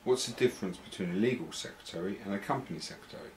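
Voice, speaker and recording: A man's voice: lowish voice, very fast. A man acting as interviewer, putting short plain questions in a training interview. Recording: roomy echo, faint background noise.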